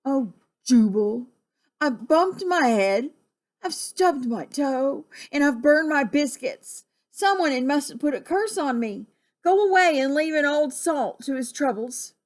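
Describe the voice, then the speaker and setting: A woman's voice: sits high, animated, unhurried, voice pushed up. Woman putting on a drawling old sea captain. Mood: miserable, grumbling. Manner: salty, declamatory old-sailor talk. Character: grumbly, hearty old male sea captain.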